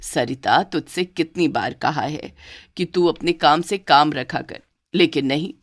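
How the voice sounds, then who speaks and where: A woman voicing a doting but bossy older mother-in-law in a cartoon story, speaking lower and slower. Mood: scolding. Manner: lower, slower, scolding toward one and sweet toward the other.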